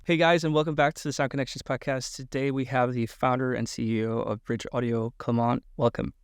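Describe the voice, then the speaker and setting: A man's voice: mid-range voice, little pitch movement, fairly quick, clear, resonant tone, dry recording, clean studio sound. Upbeat male podcast host. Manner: upbeat, enthusiastic. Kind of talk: podcast interview hosting.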